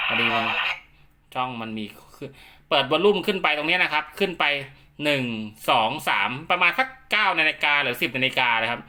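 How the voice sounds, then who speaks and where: A man with a very bright, forward voice pitched a little high for a male speaker. He explains equipment like a hands-on technician, in a chatty, instructive way, often going back over a point. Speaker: radio equipment technician and seller giving a demo. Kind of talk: informal product demonstration and explanation.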